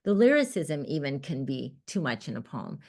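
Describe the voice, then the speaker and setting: A woman poet whose first language was Armenian, speaking in an expressive, emphatic conversation over a video call. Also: normal speed. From United States. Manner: expressive, emphatic, rises in pitch when animated. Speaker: poet, guest author. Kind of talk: casual conversation about writing. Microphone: computer mic on a video call.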